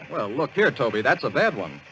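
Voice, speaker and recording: An actor playing a genial, inquisitive gentleman in an old-time radio drama, probing and hearty, on a noisy old recording. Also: medium pitch.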